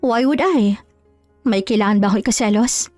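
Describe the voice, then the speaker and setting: A woman's voice: a little high, normal speed, a little mellow, no room sound, faint music behind, natural voice. A woman playing a scheming, jealous wife in an audio drama, sarcastic and coolly composed as she feigns innocence.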